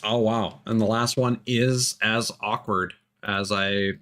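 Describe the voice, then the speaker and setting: A man's voice: on the low side, fairly even intonation, slow pace, sharp tone. A man doing casual live game commentary, thinking aloud and muttering to himself with the odd mild swear. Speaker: video game live streamer.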